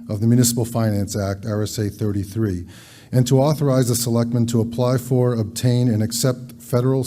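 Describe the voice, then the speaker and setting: A man in a town meeting hall reads a formal warrant article aloud, measured and quiet. His voice is very dark and mellow. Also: mid-range voice. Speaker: town selectman.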